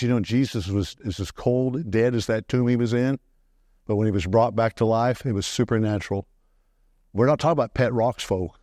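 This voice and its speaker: A male preacher delivers an animated sermon in a medium-pitched voice. He often jumps his voice upward for emphasis. The sound is dry and close, with little room echo. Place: church. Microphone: microphone.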